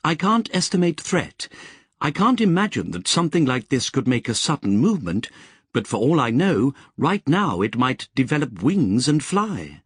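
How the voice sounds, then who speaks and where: A man voicing a precise, curious artificial intelligence, speaking crisply and formally. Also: medium pitch, sing-song, moderate pace, no room sound, studio quality, voice pushed up. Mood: eager.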